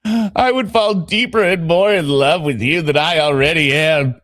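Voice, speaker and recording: A man voicing a lovelorn, dramatic lover with a put-on Southern accent and a drawn-out, pleading delivery. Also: on the high side, fairly bright tone, no room sound, clean studio sound, pitched above the performer's own voice. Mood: wounded, pleading.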